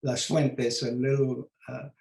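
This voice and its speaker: An older man from Mexico, gentle and modest, telling his life story on a video call. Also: medium pitch, level tone, slow, gravelly, roomy sound. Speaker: author and guest. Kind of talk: memoir storytelling.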